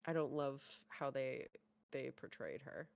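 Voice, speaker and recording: A woman's voice: a bass voice, a little slow, creaky, darkish tone, no room sound. A woman chatting casually and giving commentary as co-host of a reaction show.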